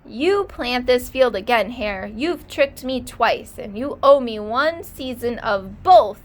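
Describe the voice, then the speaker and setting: A woman's voice: on the high side, animated, takes it slowly. A woman voicing a grumpy, indignant male bear from a storybook, scolding and emphatic. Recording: light background noise.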